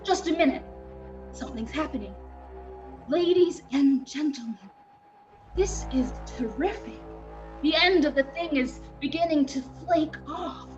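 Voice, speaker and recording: High-voiced, excitable radio reporter acted by a woman. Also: muffled recording, music in the background. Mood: rising excitement. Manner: breathless dramatic commentary with suspenseful pauses. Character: excitable radio reporter, awed and alarmed eyewitness at the scene. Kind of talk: 1930s radio drama live reading.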